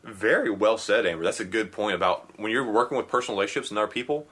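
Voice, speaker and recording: A man with a lowish voice co-hosts a casual podcast. He talks through advice in an easygoing way and fills his sentences with "you know". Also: quick pace, fairly bright tone. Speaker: podcast co-host.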